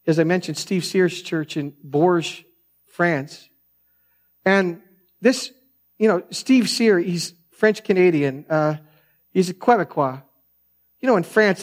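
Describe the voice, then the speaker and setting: A man with a soft voice preaching a sermon, telling stories in an earnest, conversational way. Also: a little high. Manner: earnest, conversational storytelling with frequent restarts. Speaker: pastor.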